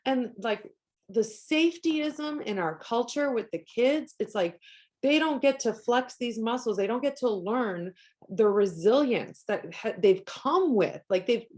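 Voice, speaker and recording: A woman's voice: fairly high pitch, expressive, measured pace, darkish tone. A woman from Canada whose first language is Polish, talking earnestly in a casual conversation and thinking aloud with many restarts.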